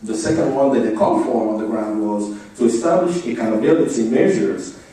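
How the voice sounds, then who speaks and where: Mellow-voiced man presenting in a very echoey room. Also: fairly low pitch, animated, fairly quick. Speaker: advocacy speaker. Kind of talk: formal briefing presentation. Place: indoor meeting room. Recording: echoey room, distant microphone.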